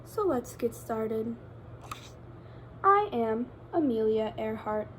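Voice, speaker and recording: Higher-pitched woman reading to children, noisy recording. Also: expressive, takes it slowly, fairly bright tone. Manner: addresses young children directly with questions. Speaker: teacher reading a picture book aloud to children. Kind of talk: expressive children's read-aloud.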